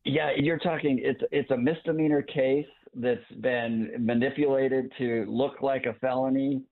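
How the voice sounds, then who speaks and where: A man giving unscripted legal analysis as an interview guest over a thin phone-like line, in a halting, deliberate way. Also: medium pitch, fairly even intonation. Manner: halting, deliberate, repeats words as he goes. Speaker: guest legal commentator, former prosecutor.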